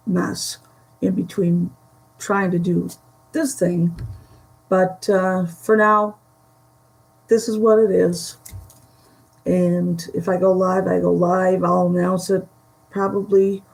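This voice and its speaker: A woman from the Buffalo area with a Western New York Great Lakes accent, chatting slowly with many pauses on a live stream from home. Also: fairly low pitch, flat delivery, a little mellow, poor audio. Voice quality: gravelly. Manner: slow, halting, many fillers. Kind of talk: casual live stream chat. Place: home room.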